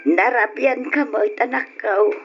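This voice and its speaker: A woman's voice: fairly high pitch, a little slow, soft background music. A woman with a Filipino Ilocano accent playing a worried, middle-aged mother who probes and admonishes her grown daughter in an old-time radio drama. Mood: suspicious, probing. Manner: probing, admonishing. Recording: dull old radio recording.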